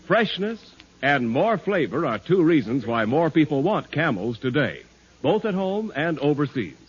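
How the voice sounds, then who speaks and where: A man's voice: fairly high pitch, big pitch swings, moderate pace, husky. A male radio announcer reading a 1940s advertisement with an emphatic, polished salesman's delivery. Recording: dull vintage 1940s radio recording.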